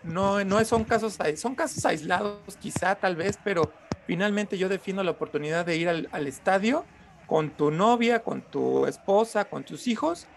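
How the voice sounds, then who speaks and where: A man's voice: high-pitched, moderate pace, vocal fry, soft background music. A Mexican man arguing his point in a casual panel discussion, often feeling his way through sentences.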